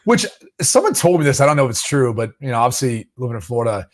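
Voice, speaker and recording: Man on a sports podcast, pitch goes all over, ribbing his cohost, laughs a lot. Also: fast talker, crowd noise. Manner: teasing, laughs often, lively banter. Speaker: podcast co-host, former player. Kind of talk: casual sports chat.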